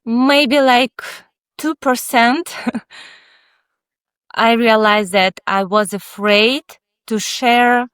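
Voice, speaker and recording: A woman, not an English speaker, answering interview questions in a halting, candid, thoughtful way with many pauses. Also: mid-range voice, clean tone, dry recording. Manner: candid, reflective. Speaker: guest author, child psychotherapist.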